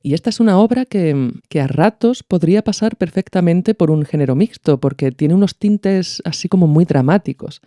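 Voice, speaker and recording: Higher-voiced, mellow male podcast host. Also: lively intonation, moderate pace, hardly any pauses, no room sound, studio quality. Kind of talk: explanatory podcast narration.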